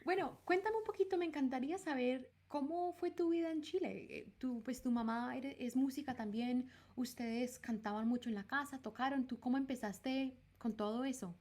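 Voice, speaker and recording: A woman interviewing two guests, asking questions in a warm, curious way. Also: high voice, moderate pace, few pauses.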